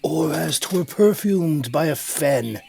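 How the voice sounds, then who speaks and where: A man's voice: a little high, animated, takes it slowly, creaky, a little mellow, exaggerated voice. A man playing a cynical, mocking nobleman in a Shakespearean audio drama, wry and scornful. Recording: distant mic, faint noise.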